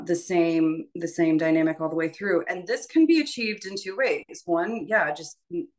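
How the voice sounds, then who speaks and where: A woman voice teacher leading an informal prep session, explaining things in a halting, conversational and encouraging way. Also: fairly low pitch, a little mellow. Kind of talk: informal spoken lesson.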